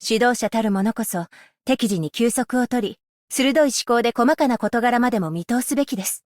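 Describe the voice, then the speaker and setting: A stern, dutiful scholar-magistrate woman with a fairly high voice, voiced by a woman, formal and measured, polite yet strict. She speaks into a close studio mic, bone dry with no room at all. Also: darkish tone, no room sound, lightly acted. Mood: composed. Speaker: voice actress. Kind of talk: game character voice lines.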